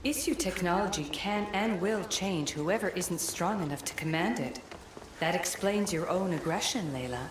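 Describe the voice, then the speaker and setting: A woman's voice: fairly low pitch, animated, normal speed, background noise, close to an everyday voice. An actress playing a stern, imperious ancient godlike mentor in a video game, her voice echoing. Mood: stern, admonishing. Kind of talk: solemn video game acting. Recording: echoing voice under loud music.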